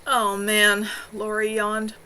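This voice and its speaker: A woman narrating a novel as its author, in an expressive storytelling style with animated dialogue. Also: medium pitch, slow, slight background noise. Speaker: author reading own novel. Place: at home on a live stream.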